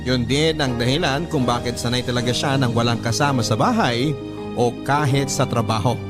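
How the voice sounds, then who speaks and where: A man's voice: mid-range voice, close to an everyday voice. An actor playing an earnest young man with a Filipino accent, narrating his love story as a scripted radio drama letter.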